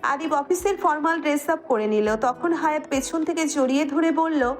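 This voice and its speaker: A woman's voice: high voice, normal speed, soft background music. A woman with a Bangladeshi accent narrating a scripted romantic audio story.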